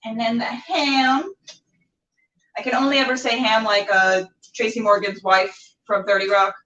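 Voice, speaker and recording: Woman, higher voice, chatty cooking host, playful, rambling, slightly dull sound. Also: steady tone, normal speed, a bit bright. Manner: playful, rambling, talking to the food and herself. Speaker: host of a live cooking and interview show. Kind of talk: casual chatty cooking demonstration to camera. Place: indoors while cooking, live stream. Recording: roomy, distant, dull camera sound.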